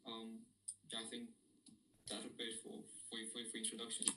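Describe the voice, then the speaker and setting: A man's voice: on the low side, monotone, normal speed, recorded from a distance, noisy background. A young man from Romania, a student guest, explaining casually and hesitantly, often repeating his words. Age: young adult.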